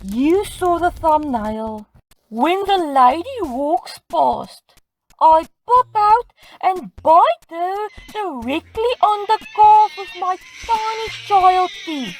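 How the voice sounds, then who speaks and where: A woman's voice: very high voice, big pitch swings, takes it slowly, low-quality recording. A woman giving scripted comedic storytime narration in a dramatic, self-mocking manner. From South Africa. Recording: music playing behind the voice. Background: music.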